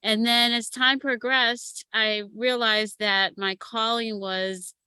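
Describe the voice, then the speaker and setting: Bright-voiced woman in her fifties. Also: a little high, slow pace, smooth, no echo. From United States. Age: 50s. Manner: lively storyteller who laughs often. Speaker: guest, matchmaker and relationship coach. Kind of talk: casual interview chat.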